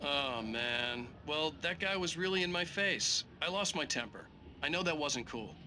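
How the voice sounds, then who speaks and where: An actor playing a wry, self-assured bestselling novelist, dry and flippant as a talk show guest. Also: male voice, on the high side, lively intonation, unhurried, bright, forceful tone, a little hiss or hum, heavily acted. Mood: sheepish, joking.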